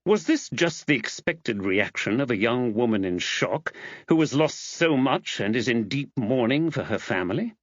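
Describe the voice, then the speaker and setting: A man's voice: mid-range voice, expressive, normal speed, no echo, lo-fi sound. A man reading a mystery novel aloud as scripted audiobook narration.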